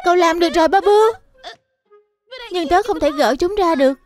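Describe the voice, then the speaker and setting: A performer voicing an earnest, excitable young bear cub in a children's cartoon dub, with simple childlike delivery. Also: very high voice, brisk, soft background music. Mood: curious, helpful.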